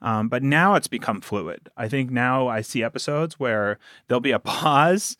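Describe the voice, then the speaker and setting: A man talks casually as an interview guest, with a mid-pitched voice and a very dry, close sound. He tells his stories with animation and leans on key words for emphasis. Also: lively intonation, normal speed, a bit bright, no room sound. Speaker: podcast guest, producer and game master of an audio drama.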